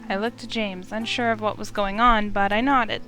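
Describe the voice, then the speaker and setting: A woman's voice: mid-range voice, fairly even intonation, normal speed, bright, forceful tone, low-quality recording. A woman streamer reading a visual novel aloud as dramatic first-person narration. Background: faint music.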